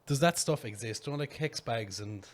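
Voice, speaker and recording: A woman's voice: very deep voice, brisk. A middle-aged Irish woman co-hosting a podcast, casual and crude, telling jokey stories. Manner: crude, joking storyteller. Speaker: podcast co-host. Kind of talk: casual chat.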